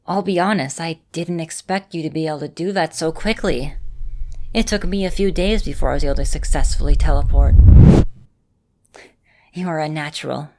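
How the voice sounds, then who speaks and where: A woman plays a vampire mistress in an ASMR roleplay. Her voice sits on the low side, and she talks straight to the listener like a caring, composed teacher, choosing measured, formal words. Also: darkish tone, a little hiss or hum, natural voice. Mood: calm, instructive. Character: female vampire mistress, caring, composed mentor, easily flustered. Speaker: voice actor in a roleplay audio.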